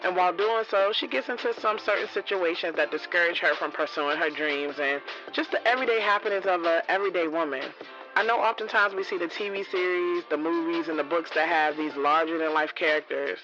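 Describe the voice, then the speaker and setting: A woman's voice: lowish voice, brisk, dull audio, over music, close to an everyday voice. A woman playing a hopeful, candid author of about thirty in an audio drama, speaking in a casual, earnest way. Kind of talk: first-person audio drama narration and radio interview dialogue.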